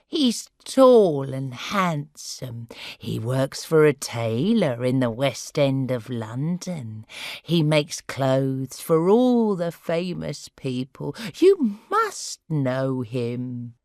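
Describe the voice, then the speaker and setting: A woman's voice: very deep voice, big pitch swings, darkish tone, dry recording, clean studio sound, heavily acted. Woman putting on a confused old lady. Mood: vague. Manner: slow, wandering, easily upset. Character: confused elderly woman, forgetful and fretful.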